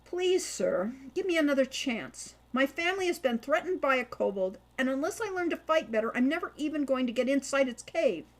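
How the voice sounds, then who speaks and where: A woman's voice: high-pitched, expressive, a little fast, few pauses, voice pushed up. A woman voicing an earnest, stubborn teenage peasant boy in an audiobook, insistent and pleading.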